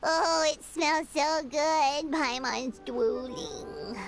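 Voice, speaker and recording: Tiny excitable fairy sidekick for a game: extremely high squeaky voice, unhurried, music behind. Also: female voice, full character voice. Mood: blissful. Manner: speaks of herself in third person. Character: small excitable childlike floating fairy companion, hungry and chatty. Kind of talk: video game voice acting.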